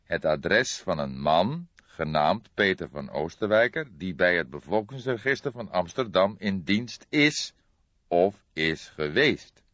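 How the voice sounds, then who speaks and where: A man acts out an ageing police detective in a crime audiobook. He speaks with patient authority and weighs his words, with an animated pitch. The sound is dry and a little muffled. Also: dry recording, full character voice. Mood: patient, deliberate. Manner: measured, thoughtful, hesitant hums. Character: old grey male police detective, patient, deliberate, authoritative. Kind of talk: audiobook character dialogue. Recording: dull lo-fi recording.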